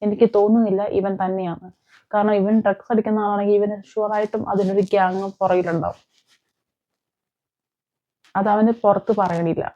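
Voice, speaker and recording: A woman's voice: medium pitch, level tone, moderate pace, pauses often, dark tone, muffled recording. A woman giving emphatic, opinionated commentary on crime as a vlog host, repeating phrases and posing rhetorical questions. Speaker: vlogger, host.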